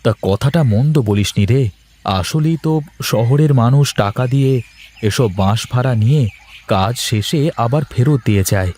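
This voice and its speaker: A man's voice: medium pitch, moderate pace, a little mellow, lightly acted. A man voicing a practical, hard-up working man in a cartoon story, speaking plainly and matter-of-factly. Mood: agreeable. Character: struggling town worker, practical younger brother returning to his village.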